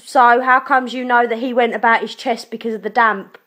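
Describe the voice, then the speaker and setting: Young female, slightly high voice, blunt challenging phone manner, colloquial client speaking to an official. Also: steady tone, moderate pace, a bit bright. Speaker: client of social services, a mother. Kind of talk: phone conversation.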